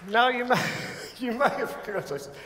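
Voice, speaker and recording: A man's voice: extremely high pitch, animated, fairly quick, a bit bright, a faint crowd. An English man hosting an awards gala with a joking, self-mocking, chatty manner. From England. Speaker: awards host. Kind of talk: comic stage hosting. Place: large banquet hall with audience. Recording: long hall reverb.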